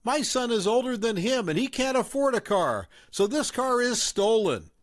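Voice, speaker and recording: A man's voice: very high voice, sing-song, moderate pace, no room sound, clean studio sound, voice pushed up. A man voicing a hostile, entitled middle-aged mother who keeps accusing someone. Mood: shrill accusing.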